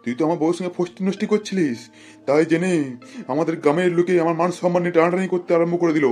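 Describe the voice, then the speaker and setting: A man voicing a vengeful male ghost in an older man's body, with drawn-out, menacing threats, for a comic horror cartoon. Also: high-pitched, steady tone, a little mellow, soft background music, lightly acted.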